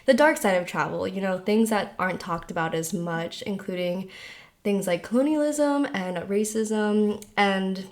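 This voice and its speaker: A woman's voice: medium pitch, unhurried. Chatty woman podcast host. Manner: friendly, chatty, uses "like" often. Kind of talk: casual podcast chat.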